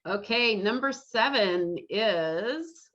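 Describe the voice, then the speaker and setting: A woman with a mid-pitched voice runs a casual game as its host. She talks informally over a video call, and the sound is dull and lo-fi. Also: lively intonation, drawn-out words, crowd noise. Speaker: quiz host at an online fan club meeting.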